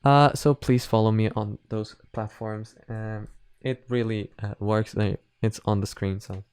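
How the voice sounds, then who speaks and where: A man's voice: lowish voice, fairly even intonation, measured pace, a little mellow, clean tone, no room sound, studio quality. A man giving casual, blunt and sweary reaction commentary as a video host.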